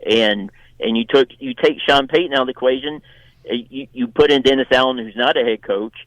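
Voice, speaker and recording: A man giving his take as a guest journalist in an unscripted sports radio interview, conversational and halting, restarting phrases as he goes. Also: medium pitch, a bit bright, dry recording, rough recording.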